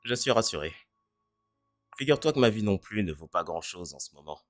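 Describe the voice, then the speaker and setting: Give me a gloomy, self-mocking teenage boy with a very deep, flat delivery, voiced by a fan dubber. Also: fairly quick. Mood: wry. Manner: deadpan, self-deprecating. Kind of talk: French fan dub. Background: faint music.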